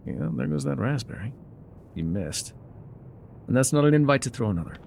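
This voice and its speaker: An actor playing a gruff, wry loner guide in an ASMR roleplay, with a dry, sardonic, unhurried delivery. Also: male voice, medium pitch, animated, darkish tone, a little hiss or hum. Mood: teasing, sarcastic. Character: gruff, wry loner man guiding a rider on foot.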